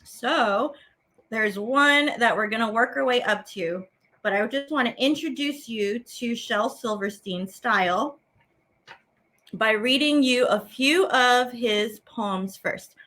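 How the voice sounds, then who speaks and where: A woman hosting a children's arts lesson as a teaching artist, expressive, stretching words for emphasis as she explains and reads aloud. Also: on the high side, slow pace. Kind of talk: animated children's lesson and poem reading.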